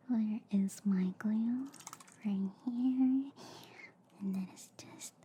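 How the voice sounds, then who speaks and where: Slow, dark, whispering ASMR woman. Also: fairly high pitch, a little hiss or hum. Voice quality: soft, breathy whisper. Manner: slow, soothing, reassuring. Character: gentle, reassuring alien woman doctor treating a human specimen. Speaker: ASMR roleplay performer. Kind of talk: ASMR roleplay, soft whispering.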